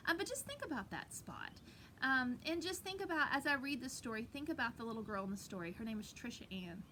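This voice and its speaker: Bright, high-voiced woman leading storytime. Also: a little hiss or hum. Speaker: elementary school teacher reading aloud to pupils. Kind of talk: casual read-aloud storytime with explanatory asides. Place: outdoors on her back porch. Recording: outdoor home recording with background ambience.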